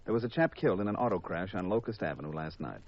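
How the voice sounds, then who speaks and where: A man's voice: deep voice, lively intonation, fast. An actor playing a cynical, long-winded insurance man in a 1950s radio drama, with an exasperated lecturing manner. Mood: exasperated, sardonic. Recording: muffled vintage 1950s radio recording.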